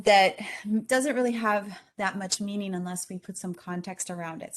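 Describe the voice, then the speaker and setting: Chile-born woman giving a talk. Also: mid-range voice. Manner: conversational, many ums and restarts. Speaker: speaker. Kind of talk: conference talk.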